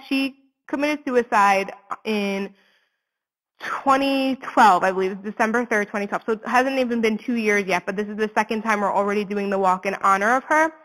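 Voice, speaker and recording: A woman's voice: medium pitch, a bit bright, long reverb tail, rough recording. A woman in her twenties speaking earnestly and conversationally as an interview guest, in candid, informal talk. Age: 20s. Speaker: interview guest, suicide prevention advocate.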